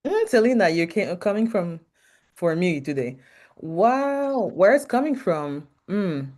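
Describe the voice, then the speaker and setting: Loud French-Canadian woman, frank and hesitant. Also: lowish voice, very animated, unhurried. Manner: frank, hesitant with many ums, laughs often. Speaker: podcast guest, marketing advisor. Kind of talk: interview conversation.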